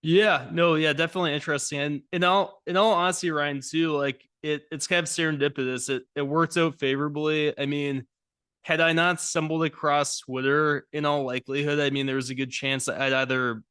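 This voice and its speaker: A man speaking as a podcast guest, telling his career story in a brisk, businesslike way. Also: a little high, fairly bright tone, smooth, no room sound, clean studio sound. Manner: brisk, businesslike, runs through lists. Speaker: podcast guest, lending advisor. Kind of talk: casual interview chat.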